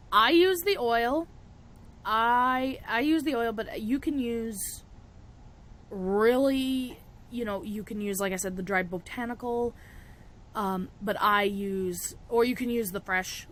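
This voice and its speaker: Raspy young woman, chatty tutorial. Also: mid-range voice, fairly bright tone. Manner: chatty, laughs often, self-interrupting. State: raspy from a cold. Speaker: witchcraft vlogger. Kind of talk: casual tutorial chat.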